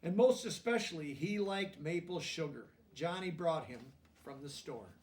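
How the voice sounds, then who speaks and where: High-pitched man reading aloud, distant from mic. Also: animated, darkish tone. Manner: pauses to explain words and check understanding in plain language. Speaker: storybook reader teaching children. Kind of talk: children's read-aloud with explanatory asides.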